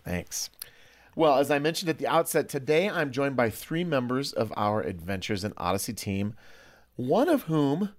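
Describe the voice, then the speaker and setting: A man's voice: medium pitch, sing-song, normal speed. A man hosting a studio discussion podcast in a playful, effusive and emphatic way. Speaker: podcast host.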